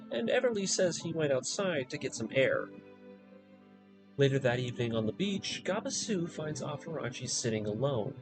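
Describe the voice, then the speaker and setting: A man giving a scripted story recap in a measured, explanatory storytelling manner, as the narrator of a lore video. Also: fairly high pitch, rough recording.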